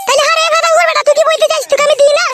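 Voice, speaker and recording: Casting: shrill young cartoon wife, extremely high, rapid and scolding, furious temper. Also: female voice, little pitch movement, heavy background noise. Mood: accusing, furious. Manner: scolding, cursing. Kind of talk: comedy cartoon dubbing.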